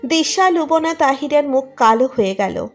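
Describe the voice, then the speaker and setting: Woman narrating a romance story, high voice, music playing behind. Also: animated, normal speed. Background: music under the narration.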